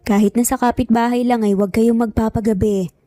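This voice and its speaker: A woman's voice: fairly high pitch, fairly even intonation, fairly quick, mellow, dry recording, lightly acted. A woman voicing a lenient but watchful grandmother in story dialogue. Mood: mildly cautioning.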